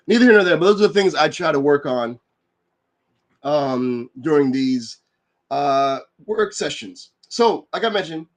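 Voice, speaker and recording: A man who is getting over a cold and sounds a little nasal, hosting a live online work session and explaining its features in a relaxed, rambling way full of fillers. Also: on the high side. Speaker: livestream host and developer advocate. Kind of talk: casual explanatory livestream talk.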